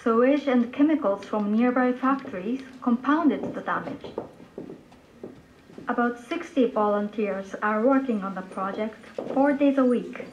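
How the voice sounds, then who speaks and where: A woman's voice: a little high, a little slow. A woman narrating a scripted news report, replayed over speakers in a room. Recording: muffled, noisy playback in a room.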